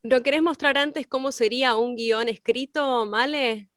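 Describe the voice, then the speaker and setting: A woman's voice: high-pitched, unhurried, fairly bright tone. A woman with an Argentine accent chatting casually as a co-teacher in an online class.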